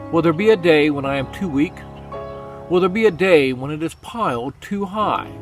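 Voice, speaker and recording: A man reading poetry aloud as a dramatic recitation, solemn and deliberate, with pauses for effect. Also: a little high. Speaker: poetry reader. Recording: music under the voice. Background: background music.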